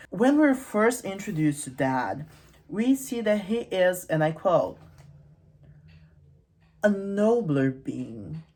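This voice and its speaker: A woman's voice: low voice, a little mellow. A woman hosting a casual, humorous literary commentary, with wry, irreverent asides in a conversational way. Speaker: host of a literary channel.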